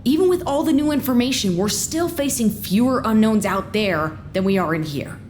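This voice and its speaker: A woman's voice: a little high, animated, moderate pace, darkish tone, a little hiss or hum, close to an everyday voice. An actress playing a determined, hopeful woman in an audio drama, arguing in an earnest and insistent way. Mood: impassioned pleading. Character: determined, hopeful woman urging a trapped companion to escape. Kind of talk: naturalistic audio drama acting.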